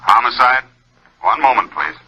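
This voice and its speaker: An official, curt switchboard man answers for the police over a phone line. His voice is piercingly forceful and rough, in old radio drama style. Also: mid-range voice, lively intonation, moderate pace, full character voice.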